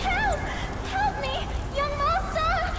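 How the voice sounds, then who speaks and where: High-pitched pleading young fox princess. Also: female voice, a crowd in the background, background noise. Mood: pleading, desperate. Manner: plaintive, beseeching, halting. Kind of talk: video game fantasy acting.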